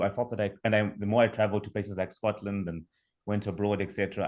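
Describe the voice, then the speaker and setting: A young man with a Zimbabwean accent and a lowish voice pitches his startup. He explains things in a measured way with small restarts, speaking over a video call. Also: a little mellow. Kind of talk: online pitch presentation.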